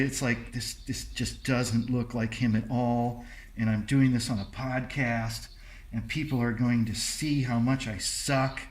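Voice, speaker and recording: A man chatting casually as a podcast host, telling stories in an animated way. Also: medium pitch. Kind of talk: casual chat.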